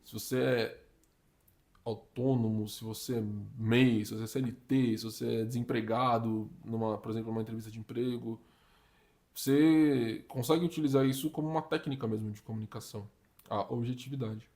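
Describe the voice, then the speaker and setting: Slangy, animated young Brazilian man. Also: mid-range voice, fairly quick. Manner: slangy and animated, uses "tá ligado", "mano", "papo reto". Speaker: career vlogger giving communication tips. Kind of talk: casual advice vlog.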